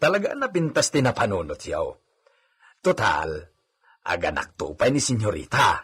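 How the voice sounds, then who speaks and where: A man acting an eager, deferential villager serving a rich landowner in an Ilocano comedy radio drama, with an Ilocano accent and an animated, fawning manner. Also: fairly low pitch, normal speed, husky, full character voice. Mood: eager, scheming.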